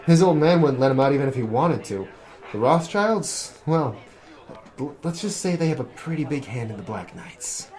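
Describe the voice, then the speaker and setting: Young male gambler in debt, played by a man, noir audio drama, nervous and stammering, animated intonation. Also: medium pitch, normal speed, natural voice. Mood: guarded. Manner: stammering, hesitant.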